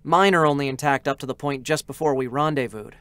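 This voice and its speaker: A man's voice: medium pitch, fast, a bit bright, clear, resonant tone, dry recording, natural voice. A man voicing a boyish, chatty young male android scout in a dramatic reading, eager, hesitant and openly emotional. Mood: subdued, reflective.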